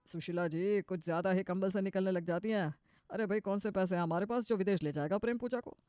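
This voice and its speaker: A woman's voice: mid-range voice, quick pace, darkish tone, smooth, dry recording, studio quality, soft background music, pitched below the performer's own voice, close to an everyday voice. A woman voicing a pretentious, grumbling middle-aged father-in-law in a comic cartoon story, showy and exasperated, dropping in English words. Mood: weary grumbling. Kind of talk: cartoon comedy story dialogue.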